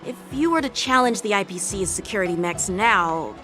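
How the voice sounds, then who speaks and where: A woman's voice: medium pitch, animated, moderate pace, fairly bright tone, faint music behind, close to an everyday voice. A woman voicing a polite, composed young swordplay teacher in an anime-style game, formal and earnest. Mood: measured, earnest.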